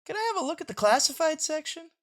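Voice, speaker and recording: A dramatic little boy from a comic strip, performed by a man, full of schemes and exclamations. The voice is pitched up and bright, swinging widely as he gets excited. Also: fast talker, exaggerated voice. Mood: scheming. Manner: exclamatory, theatrical.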